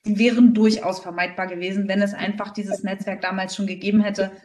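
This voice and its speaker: A woman's voice: medium pitch, flat delivery, fairly quick, darkish tone, a little hiss or hum. A woman from Berlin giving conversational interview answers as a startup founder, emphatic and often repeating words for stress. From Berlin, Germany. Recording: echoey room, distant microphone.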